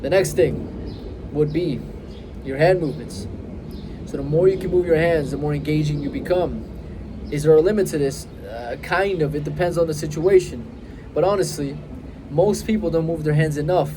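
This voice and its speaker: A young man in his twenties giving confident, emphatic self-improvement advice straight to his phone outdoors, varying his pace and pauses. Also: a little high, background noise. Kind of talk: direct-to-camera advice vlog. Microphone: phone held close.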